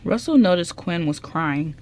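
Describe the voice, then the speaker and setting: A woman's voice: fairly low pitch, lively intonation, measured pace, darkish tone. A woman reading scripted narration for an amateur radio drama.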